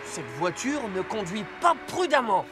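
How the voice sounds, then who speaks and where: A woman's voice: mid-range voice, very animated, measured pace, over music. A woman voicing an alert, caring police car rescue robot in a children's cartoon, earnest and commanding. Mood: alert, directing.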